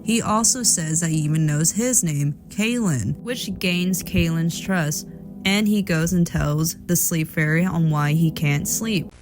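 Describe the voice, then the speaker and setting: A woman talks through a horror film as a commentator, explaining it in an informal way. Her pitch sits in a middle range, and prominent music plays behind her voice. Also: measured pace. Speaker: video commentator. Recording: loud music under the voice.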